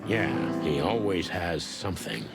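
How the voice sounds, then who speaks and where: An actor playing a world-weary, wry rooster detective in a noir video game, with a dry, hard-boiled manner. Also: male voice, low voice, unhurried. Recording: music under the voice.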